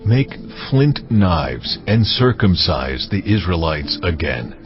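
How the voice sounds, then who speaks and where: An actor playing God in a dramatized audio Bible, a solemn, commanding divine voice speaking in weighty declarations. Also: male voice, low-pitched, sing-song, slow pace, heavily acted. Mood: solemn decree. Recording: dull audio, music underneath.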